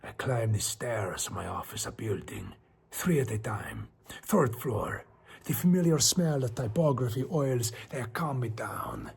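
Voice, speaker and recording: A man performs an anxious Spanish storyteller questioning his own sanity in a horror tale. His accented voice is very husky with a creaky edge. He narrates theatrically and broodingly, often letting it fall to a hush. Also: medium pitch, animated, unhurried, full character voice. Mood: shaken, self-reproaching. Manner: theatrical, brooding, often dropping to hushed tones.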